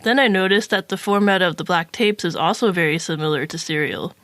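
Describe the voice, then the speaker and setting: A woman's voice: fairly low pitch, brisk, fairly bright tone, dry recording. Woman reviewing on a podcast, dry sound.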